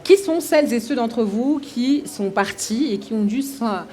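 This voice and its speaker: A woman's voice: a little high, lively intonation, unhurried, darkish tone. A woman from DR Congo moderating a live workshop, asking the audience questions and sharing her own experience. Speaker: workshop facilitator.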